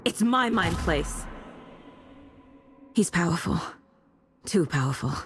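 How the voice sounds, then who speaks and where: A determined female investigator with a low voice. She is tense and troubled, a mother speaking with dramatic video game intensity over clear music. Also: expressive, slow pace. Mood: troubled, analytical.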